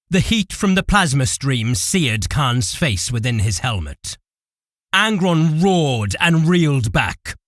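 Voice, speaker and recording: A man's voice: medium pitch, a bit bright, no echo, clean studio sound. A man giving intense, theatrical scripted narration for an audio drama.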